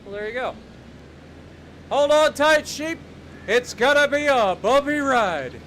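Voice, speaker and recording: A man's voice: extremely high pitch, animated, a little slow, a bit bright, a little hiss or hum. A man with an Ohio accent, casually commenting while he plays a game, joking and chatting to himself and his viewers. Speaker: game streamer.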